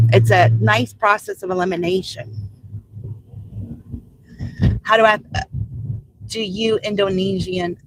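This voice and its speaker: A woman's voice: mid-range voice, poor audio. An older American woman from the Louisville area, chatting casually on a live stream while driving deliveries, rambling and answering viewers' comments, a bit congested from allergies. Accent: Southern Indiana / Louisville area. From United States. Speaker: vlogger driving deliveries, reading live chat. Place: in a car.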